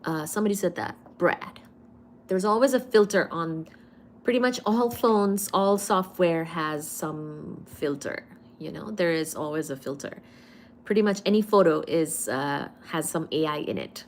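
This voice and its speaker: A young woman from India with an Indian accent, hosting a casual livestream and answering viewer comments in an unhurried, hesitant way with frequent fillers. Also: low-pitched, slight background noise. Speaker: livestream host. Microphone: phone or webcam on a live stream.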